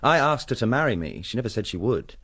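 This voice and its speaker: A man's voice: mid-range voice, very animated, fast, fairly bright tone, slightly rough, heavily acted. An actor playing a matter-of-fact upper-class young man in a radio drama, with a clipped manner. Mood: matter-of-fact, weary.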